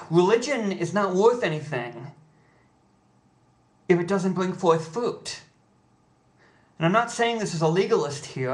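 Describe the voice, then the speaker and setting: An American man making an impassioned personal appeal, speaking earnestly and pleadingly with halting, deliberate pauses. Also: high-pitched. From United States.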